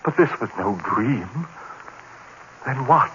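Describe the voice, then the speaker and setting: An actor playing a haunted man descending into murderous madness, in melodramatic old radio horror style, moving between hushed dread and outcry. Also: mid-range voice, animated, slow. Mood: brooding, desperate. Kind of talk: 1940s radio horror acting. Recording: muffled noisy old radio recording.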